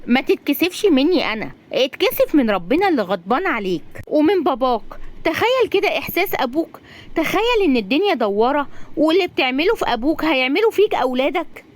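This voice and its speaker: A woman's voice: high voice, big pitch swings, fast, pitched above the performer's own voice. A woman voicing a feisty twenty-five-year-old woman in an audio story, emotional and insistent as she pleads and scolds. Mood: righteous scolding.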